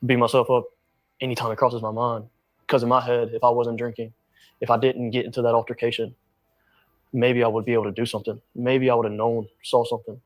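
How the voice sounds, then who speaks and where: Flat-toned young man speaking earnestly. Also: medium pitch, fast talker, no echo. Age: young adult. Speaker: interviewee. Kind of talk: emotional personal interview.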